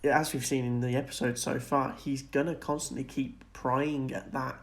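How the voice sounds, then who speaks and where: A man's voice: medium pitch, level tone, moderate pace. A man co-hosting a podcast, chatting casually and earnestly as he speculates about film and TV. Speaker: podcast co-host.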